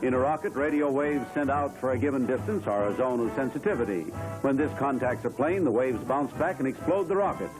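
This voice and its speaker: A man's voice: medium pitch, lively intonation, brisk, rough recording. A man narrating a 1940s newsreel in a formal, dramatic announcer's delivery. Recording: 1940s newsreel soundtrack with loud music behind.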